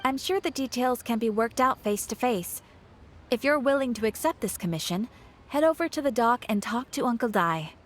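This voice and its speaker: A woman's voice: a little high, lively intonation, moderate pace, slight background noise, lightly acted. A woman voicing a polite, composed guild clerk in a video game, courteous and businesslike. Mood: helpful.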